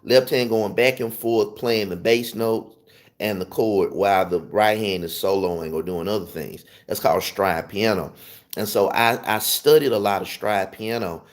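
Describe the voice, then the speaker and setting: A man's voice: fairly low pitch, a little slow. A man with a Southern US accent giving an informal music lesson, emphatically repeating and rephrasing his points. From Memphis, Tennessee, southern US. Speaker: music teacher. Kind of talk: informal instructional talk to viewers.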